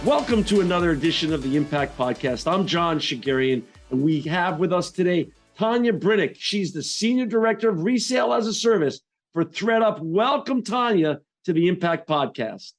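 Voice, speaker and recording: An upbeat man hosting a podcast, his voice sitting a little high for a man. Faint music plays beneath him as he talks with a remote guest. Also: animated, moderate pace, few pauses. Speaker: podcast host. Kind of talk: remote interview.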